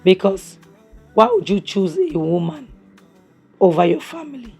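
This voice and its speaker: A woman, hoarse from a cough, chatting through a casual review of a drama episode at home, opinionated and full of restarts. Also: mid-range voice, sing-song, unhurried, warm tone, faint music behind. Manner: chatty and opinionated, many restarts and "you know". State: hoarse from a cough, losing her voice. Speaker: series review vlogger. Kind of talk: casual spoken review recap. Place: home, near a kitchen appliance.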